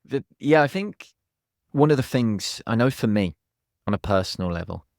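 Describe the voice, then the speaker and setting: A man hosting a podcast, chatting relaxed and sharing a personal story. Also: mid-range voice, normal speed, gravelly, no room sound, clean studio sound. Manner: relaxed, conversational, tells personal anecdote. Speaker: podcast host. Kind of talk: casual chat.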